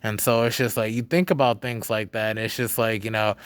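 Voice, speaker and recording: Young man, podcast host, rambling casual talk, lowish voice, dry close sound. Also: fairly even intonation, fairly quick, smooth, no echo. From Charlotte, North Carolina. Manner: rambling, filler-heavy, swings between loud and quiet. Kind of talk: casual conversational interview.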